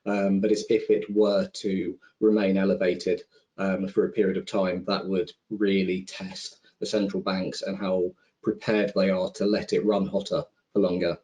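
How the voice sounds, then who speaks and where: A man's voice: low voice, level tone, normal speed, warm tone. A man speaking as an investment panelist on a conference call, thinking aloud in a conversational, informal way. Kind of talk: conversational roundtable commentary.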